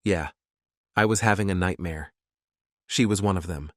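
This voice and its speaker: A man's voice: low-pitched, moderate pace, no echo, studio quality, lightly acted. A man playing an anxious, weary survivor in an audio comic, sounding uneasy and subdued. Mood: shaken. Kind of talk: audio comic dramatic acting.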